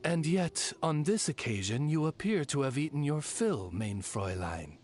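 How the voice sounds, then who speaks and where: An actor voicing a dignified raven familiar in an anime game, formal and polite like a dryly deferential butler. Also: male voice, a little high, lively intonation, moderate pace, darkish tone, lightly acted. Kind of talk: anime game character voice lines.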